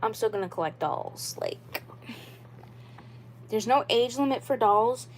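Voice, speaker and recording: A woman's voice: a little high, lively intonation, measured pace, a little hiss or hum. A woman in her twenties talking to viewers of her livestream about collecting dolls. She rambles, repeats herself, and leans on "like" and "you know" as she insists on her point. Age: 20s. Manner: rambling and repetitive, with many "like" and "you know" fillers; earnest and insistent. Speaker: doll YouTuber. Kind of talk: casual livestream talk.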